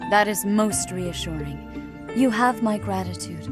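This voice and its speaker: A woman playing a devout archbishop in a video game, formal, measured and solemn, with serene authority. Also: medium pitch, over loud music, lightly acted. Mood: serene, gracious.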